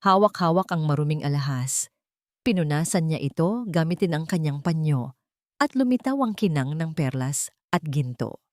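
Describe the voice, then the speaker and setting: A woman reading a dramatic scripted story as narrator, with measured, suspenseful delivery. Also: deep voice, lively intonation, a little mellow, no room sound, studio quality.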